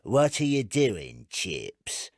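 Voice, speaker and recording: A man plays a talking rat from a storybook, menacing and gleeful about destruction. It taunts deliberately, with a lively swing in pitch. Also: no echo, clean studio sound, heavily acted. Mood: taunting. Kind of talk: dramatised storybook reading.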